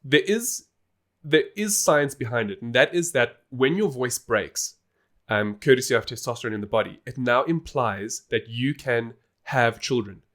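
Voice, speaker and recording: A man's voice: medium pitch, animated, normal speed, fairly bright tone. Chatty man explaining on a podcast. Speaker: podcast host. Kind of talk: casual explanatory podcast talk.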